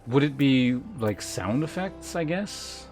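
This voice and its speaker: A man's voice: medium pitch, expressive, slow, a little mellow. A man giving casual playthrough commentary as a game streamer, offhand and often muttering to himself. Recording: game music behind the voice. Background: game music.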